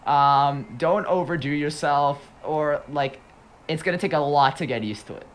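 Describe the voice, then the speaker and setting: A man's voice: a little high, normal speed. A young man on a livestream chatting casually about voice acting, rambling and repeating himself with frequent laughs. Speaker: guest voice actor.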